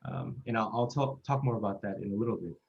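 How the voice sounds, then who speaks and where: Earnest man in his thirties, muffled. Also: fairly low pitch. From South Korea. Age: 30s. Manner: earnest, measured storytelling. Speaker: oral history interviewee. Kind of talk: reflective oral history interview. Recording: muffled lo-fi recording.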